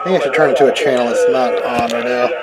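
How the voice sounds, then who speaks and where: A man's voice: mid-range voice, fast. A man giving a casual hands-on explainer, informal and thinking aloud as he tinkers. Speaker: hobbyist video maker demonstrating car radio gear. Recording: clear background vehicle and ambient noise. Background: vehicle and ambient noise.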